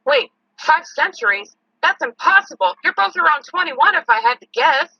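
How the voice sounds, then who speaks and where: Woman acting very high-pitched incredulous girl. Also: lively intonation, normal speed, no echo. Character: incredulous young female recruit. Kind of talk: amateur audio drama acting. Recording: dull lo-fi close mic.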